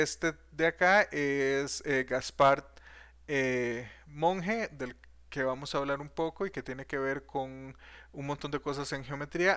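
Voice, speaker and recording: A man's voice: on the high side, fairly bright tone, clear, resonant tone. A man teaching math history in a video lecture, hesitant, with frequent fillers and restarts.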